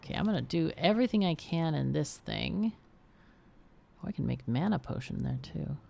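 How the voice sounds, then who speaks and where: A woman's voice: low voice, expressive, moderate pace, creaky, darkish tone. A woman chatting casually and thinking aloud as she plays a game on a livestream. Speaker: streamer and game player. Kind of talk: casual livestream gameplay commentary.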